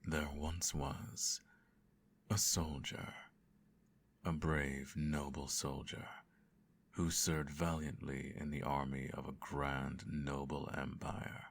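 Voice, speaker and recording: Evil emperor father , male actor, extremely low gravelly voice, formal and condescending, quietly menacing bedtime ASMR roleplay. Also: level tone, slow pace, heavily acted. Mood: solemn storytelling. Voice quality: very deep, creaky.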